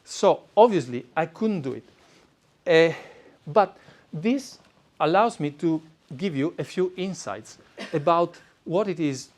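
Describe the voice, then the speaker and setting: A middle-aged man with an Italian accent presents to a live audience in an event room. His voice sits a little high for a man. He speaks in a conversational way, with plenty of "uh" fillers and restarts. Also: expressive, slow pace. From Italy.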